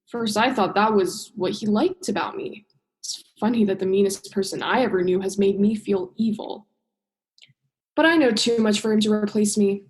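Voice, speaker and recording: A woman's voice: medium pitch, normal speed, darkish tone, lightly acted. A woman drama student acting a bitter, sarcastic young female scientist in a radio play, sardonic and emphatic, stretching stressed words. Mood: wistful bitterness. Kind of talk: radio play monologue and dialogue.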